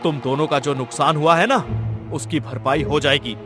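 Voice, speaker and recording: Guy as a haughty cartoon king, kinda high voice, commanding, music underneath. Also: moderate pace, lightly acted. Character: stern, imperious, restless king. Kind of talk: cartoon story voice acting.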